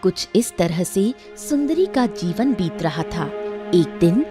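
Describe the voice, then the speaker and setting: Female cartoon narrator: unhurried, highly varied intonation, reading a scripted story. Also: very animated, music in the background.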